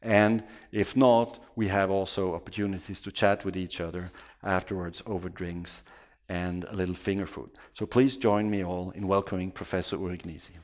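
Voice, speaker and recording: A man moderating an event, giving a formal introduction in a measured, courteous way. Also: deep voice, steady tone. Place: conference hall.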